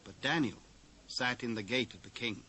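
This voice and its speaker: A man's voice: mid-range voice, moderate pace, bright, forceful tone. A man with a British accent narrating scripture in a formal style. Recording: noisy old cassette dub.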